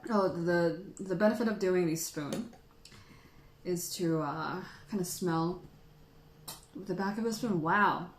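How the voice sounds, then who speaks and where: A woman's voice: on the low side, takes it slowly, long pauses, roomy sound, a little hiss or hum, poor audio. A woman, a native Tagalog speaker, hosting a casual livestream and chatting with viewers in a playful, teasing, jokey way. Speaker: host of a tea tasting livestream.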